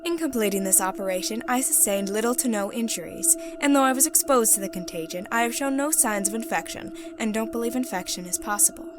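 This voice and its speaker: A woman's voice: on the high side, lightly acted. Woman acting as composed sci-fi secret agent over music. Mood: composed, formal. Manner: formal, measured reporting. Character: composed, ruthless female secret agent in science fiction. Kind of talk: scripted dramatic reading, mission debrief. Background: background music.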